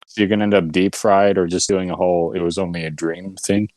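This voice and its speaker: A man's voice: sits low, little pitch movement, fairly quick, a little mellow, no room sound. A man co-hosting a podcast, explaining things in a casual, rambling way. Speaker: podcast co-host.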